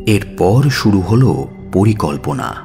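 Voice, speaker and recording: A man narrating a scripted audio story in a measured, dramatic style. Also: sits low, sing-song, creaky. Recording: music bed under narration.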